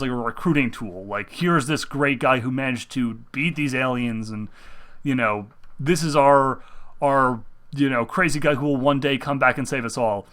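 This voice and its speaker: A man's voice: medium pitch, fairly bright tone. A young man who is sick, talking casually about video game movies, meandering with many fillers and restarts. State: sick, speaking through pain. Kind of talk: casual rambling gaming commentary.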